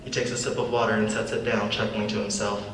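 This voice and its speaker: A man's voice: lowish voice, little pitch movement, quick pace, fairly bright tone. A young man reading scripted stage directions as narration at a live table read. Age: young adult. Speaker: reader of script action lines. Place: large echoey room, live event. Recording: echoey room, distant mic, faint noise.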